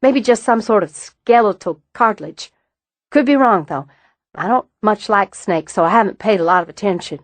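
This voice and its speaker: A woman narrator voicing an earnest young mother who talks plainly and bluntly, a bit higher than her narration. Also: normal speed, no room sound, lightly acted. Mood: musing.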